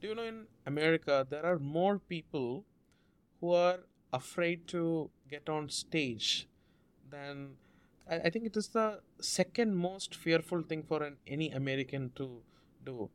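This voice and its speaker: A man from India, a Malayalam speaker, telling a story as an interview guest in an earnest, measured way. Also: on the high side, clear, resonant tone. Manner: measured, earnest, drawn-out phrasing with restarts.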